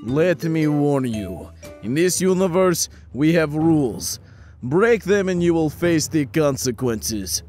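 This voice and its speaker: A man voicing a stern, world-weary dragon with a deadpan, measured warning manner in a cartoon comic dub. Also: a little high, faint music behind, pitched below the performer's own voice. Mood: dry warning. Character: stern, world-weary male golden dragon head, dry warner.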